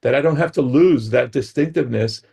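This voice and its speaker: A middle-aged man with a gravelly, husky voice talks through ideas as a guest on a talk show. He keeps checking in with his listener by adding "right?" after his points. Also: mid-range voice, a little fast, mellow. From United States. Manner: explains with frequent "right?" check-ins. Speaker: guest expert. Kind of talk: explanatory talk-show conversation.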